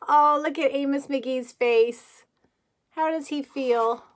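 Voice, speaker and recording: A woman reading a picture book aloud as a kindergarten teacher, gently and expressively, with questions to the listeners. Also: high-pitched, takes it slowly.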